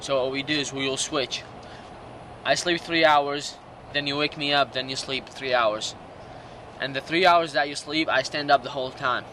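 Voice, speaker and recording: A man in his late teens from Iraq with a Middle Eastern accent gives personal testimony in halting, non-native English. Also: medium pitch, fairly even intonation, pushed and bright, slight background noise, low-quality recording. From Iraq, Jordan and Syria. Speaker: documentary interview subject. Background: music.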